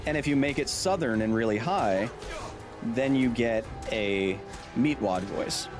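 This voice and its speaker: A man doing live gameplay commentary, goofy and excitable, who shouts at the game. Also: mid-range voice, measured pace, music in the background. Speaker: streamer.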